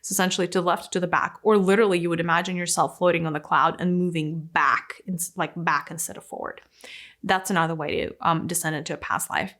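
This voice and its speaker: A woman in her thirties giving a casual, animated talk to camera in a room, now and then dropping to a near-whisper for emphasis. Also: on the low side, fast. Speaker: spiritual teacher. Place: indoor room with plants and a window. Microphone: fixed camera, medium framing.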